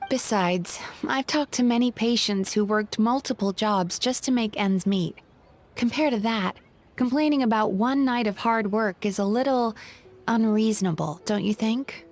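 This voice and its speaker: A woman playing a tired, gentle and hardworking yokai therapist in a video game, polite and earnest. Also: a little high, moderate pace, a little hiss or hum, close to an everyday voice.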